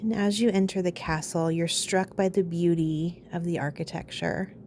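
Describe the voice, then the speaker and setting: Calm lady doing guided meditation, lowish mellow voice, soothing, bit of faint noise behind her. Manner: calm, soothing, unhurried instruction with pauses.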